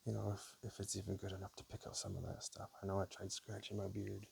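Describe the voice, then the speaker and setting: A man speaking softly and casually in an ASMR style, sometimes whispering, with a hesitant, unhurried, low gravelly murmur. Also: monotone. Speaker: ASMR creator. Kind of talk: ASMR, soft-spoken and whispered personal talk. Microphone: camera or basic mic, spoken close. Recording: faint hiss on amateur mic.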